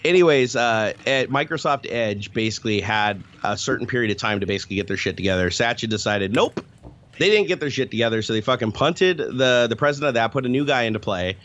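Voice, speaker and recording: Fast-talking, profane man on a call. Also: medium pitch, animated, a bit bright. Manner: profane, rambling, quick. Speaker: podcast co-host, former software tester. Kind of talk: casual chat. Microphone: video call. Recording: dull lo-fi call audio.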